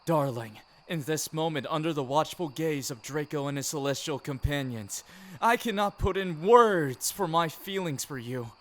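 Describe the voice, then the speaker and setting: A man's voice: on the high side, expressive, moderate pace, a bit bright. A man performing an ASMR romantic roleplay as a tender, teasing boyfriend, speaking affectionately to his partner. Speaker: amateur audio roleplay performer. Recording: clearly noisy, ambience behind voice. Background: ambient background sound.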